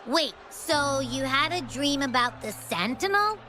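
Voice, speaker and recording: A woman's voice: high-pitched, animated, measured pace, sharp tone, a little hiss or hum, exaggerated voice. A young woman voicing a cheerful, food-loving companion in a video game cutscene, bubbly and a little boastful. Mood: curious. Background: faint game music.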